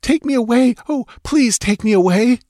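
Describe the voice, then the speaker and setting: A man's voice: very high voice, moderate pace, dark tone, no room sound, clean studio sound, pitched above the performer's own voice. A man voicing a frightened, pleading young woman in an audiobook adventure story, with a whimpering, breathless delivery. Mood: hysterical pleading. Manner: whimpering, gasping, stammering.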